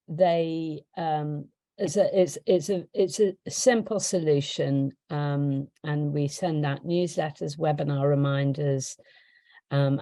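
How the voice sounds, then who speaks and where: A woman hosting a business webinar, talking through slides in a hesitant way with lots of ums and a croaky voice. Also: low-pitched, warm tone, dry recording. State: croaky voice, clearing throat. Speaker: webinar host for a holiday rental business.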